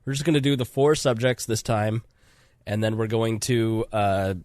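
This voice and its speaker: A man's voice: lowish voice, normal speed, darkish tone, dry recording, clean studio sound. A man hosting a casual podcast, talking in a rambling, chatty way with his voice congested from a cold. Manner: rambling, self-interrupting, chatty. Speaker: podcast host.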